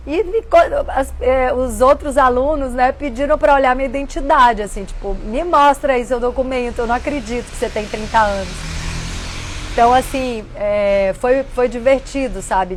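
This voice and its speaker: A woman, hosting a casual reflective talk and answering viewer questions in a warm, earnest way. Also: fairly high pitch, a little hiss or hum. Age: 50s. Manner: warm, earnest, conversational with frequent "né".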